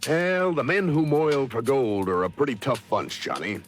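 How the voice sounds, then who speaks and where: A man's voice: mid-range voice, very animated, moderate pace, close to an everyday voice. An actor playing an amiable, businesslike insurance man in a 1950s radio drama, conversational and a little hesitant. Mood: concerned, confiding. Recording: noisy vintage radio recording.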